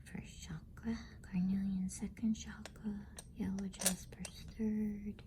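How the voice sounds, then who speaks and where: A woman whispering softly and breathily in a gentle, unhurried ASMR bedtime style. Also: medium pitch, level tone, slight background noise. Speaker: host and storybook reader.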